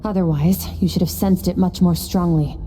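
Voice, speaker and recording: An actress in a video game playing an aloof, coolly commanding woman warrior mentor, detached and measured. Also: lowish voice, steady tone, noisy background, natural voice. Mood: analytical, composed.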